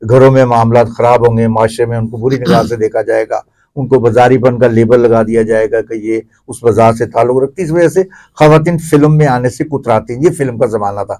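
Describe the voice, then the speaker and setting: A man's voice: mid-range voice, steady tone, mellow. A man with a Pakistani accent giving explanatory answers as a guest commentator in an interview.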